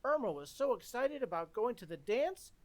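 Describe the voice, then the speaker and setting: A woman's voice: on the high side, big pitch swings, normal speed, darkish tone. A woman playing an earnest, dramatic teenage son in an old-time radio comedy. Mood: worried, pleading.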